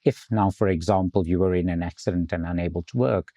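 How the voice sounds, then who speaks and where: Deep husky man explaining calmly. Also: no echo, studio quality. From South Africa. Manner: measured, explanatory, some restarts. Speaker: insurance executive guest. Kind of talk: explaining in an interview.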